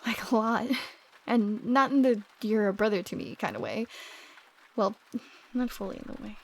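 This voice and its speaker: A woman playing an affectionate, shyly teasing young roommate in an intimate ASMR roleplay, speaking softly and often dropping to a whisper. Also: on the high side, moderate pace. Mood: nervous, earnest.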